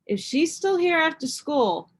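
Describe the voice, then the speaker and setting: Storybook mother, acted by a woman: raised, expressive voice, gentle and encouraging toward her child. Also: high voice, measured pace, pitched above the performer's own voice. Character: kind, encouraging mother of a young girl. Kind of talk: children's storybook read-aloud.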